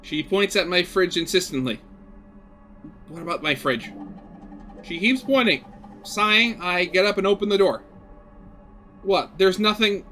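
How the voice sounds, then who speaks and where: Man, high pitch, bright forceful tone, gaming host, casual read-aloud with rambling asides, background music. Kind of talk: reading a visual novel aloud with casual commentary.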